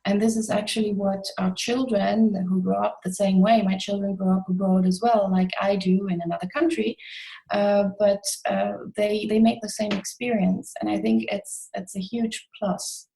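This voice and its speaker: A woman's voice: mid-range voice, flat delivery, moderate pace, gravelly, warm tone, poor audio. A middle-aged woman answering as an interview guest, reflective and conversational, expressively voicing imagined quotes to illustrate her points. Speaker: interview guest, expat coach.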